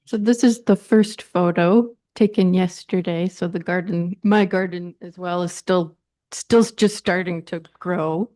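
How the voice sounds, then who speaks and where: A woman presenting photos at a meeting in a brisk, accommodating way. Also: on the low side, steady tone, warm tone. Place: council chamber.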